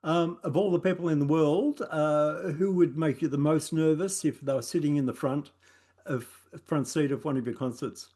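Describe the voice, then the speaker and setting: A man's voice: fairly high pitch, moderate pace, dark tone. Easygoing Australian male interviewer. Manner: easygoing, hesitant with fillers. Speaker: interviewer, host. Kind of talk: casual interview questions.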